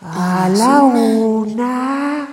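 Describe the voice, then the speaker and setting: A woman's voice: fairly high pitch, drawn-out words, darkish tone. A woman playing an obedient animal helper in an amateur radio play. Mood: cooperative.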